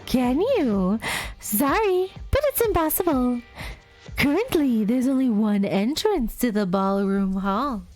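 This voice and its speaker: Brief: woman voicing a cruel, playful bear mascot from a game, high and swooping, slow sing-song taunts. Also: darkish tone. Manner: sing-song, teasing, drawn-out delivery. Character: mischievous male bear mascot, gleefully cruel. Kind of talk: voicing a game's dialogue aloud.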